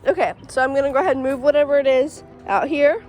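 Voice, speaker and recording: A chatty woman with a fairly high voice, a little stuffy and congested. She talks excitedly, with plenty of "um"s, while filming outdoors beside a shed. Faint music plays softly underneath. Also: quick pace. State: stuffy from allergies. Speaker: farm vlogger. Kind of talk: casual vlog.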